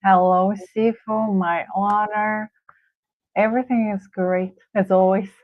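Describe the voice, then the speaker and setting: A middle-aged woman with a Russian accent, a guest coach answering thoughtfully with frequent pauses and fillers. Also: medium pitch, steady tone, no room sound. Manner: thoughtful, halting with many fillers. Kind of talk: casual interview answers. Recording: tinny phone-like line.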